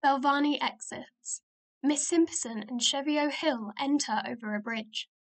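A woman's voice: high-pitched, a little slow. A woman reading stage directions as scripted narration for a radio play.

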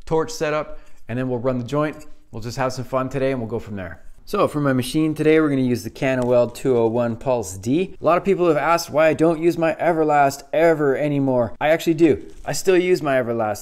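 A man's voice: medium pitch, darkish tone. A man in his thirties giving a laid-back, chatty welding tutorial in his small home workshop. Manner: laid-back, chatty, says "pretty simple" a lot. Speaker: welding instructor.

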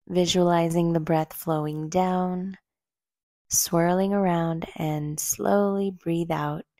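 A woman guides a meditation in a slightly low, mellow voice. She speaks very slowly and gently, leaving space between short instructions. The recording is dry and close, with little sense of a room. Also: vocal fry, dry recording. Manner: gentle, soothing, unhurried instructing with long pauses. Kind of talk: slow guided meditation narration.

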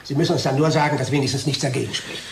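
A man's voice: medium pitch, rapid-fire, creaky, natural voice. An actor playing a sarcastic, indignant male pharmaceutical sales manager in a radio play. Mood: exasperated. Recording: echoey room with background noise.

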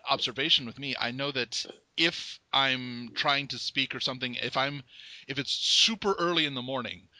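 A man hosting a podcast interview, asking curious questions in a very bright, sharp voice. His audio is close and dry, with no room sound, and he keeps the talk going with short nods of agreement. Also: mid-range voice, moderate pace, no echo, low-quality recording. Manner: curious, many short acknowledgements. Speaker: podcast host.

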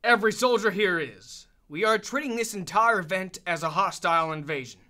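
Bright, blustering military commander played by a man. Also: high-pitched, brisk. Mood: defiant, reassuring. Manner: emphatic, assured. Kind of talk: radio drama acting.

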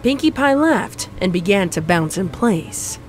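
A woman's voice: medium pitch, sing-song, unhurried, soft background music. A woman reading a comic fan fiction story as scripted narration.

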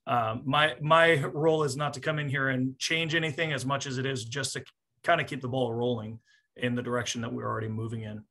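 A man in his forties with a bright, forward voice at a middling pitch. He talks like a coach after a match, explaining steadily and in measured phrases. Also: fairly even intonation. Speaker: volleyball coach. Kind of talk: post-match press conference answers. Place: small indoor office, on a video call.

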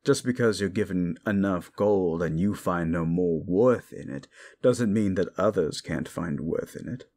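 A man's voice: low-pitched, a little slow, a little mellow. A man playing a sardonic, hungry demon in an audio roleplay, talking to the listener in a condescending, theatrical, mocking way with muttered asides. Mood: exasperated, bitter.

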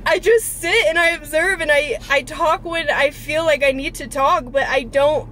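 A woman's voice: sits high, moderate pace, a bit bright, poor audio. A woman in her twenties chatting casually and earnestly to camera as a vlogger, informal and mildly profane, recorded inside a car. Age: 20s. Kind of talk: casual confessional chat to camera. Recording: car interior with clear background noise.

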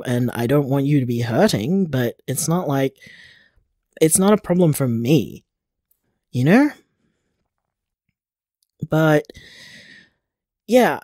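A man playing a loving, supportive boyfriend in an audio drama, speaking earnestly and haltingly, with casual tenderness. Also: on the high side, dark tone, dry recording, clean studio sound. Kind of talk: audio drama voicemail acting.

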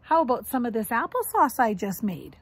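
A middle-aged woman voicing a caring, patient storybook mother bear who gently explains and reassures. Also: on the high side, big pitch swings, a little fast, slightly rough, full character voice. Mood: explaining.

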